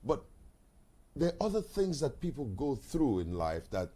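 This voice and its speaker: A Nigerian man hosting a live talk show, reflective, with halting restarts and repeated words. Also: mid-range voice, sing-song, dark tone.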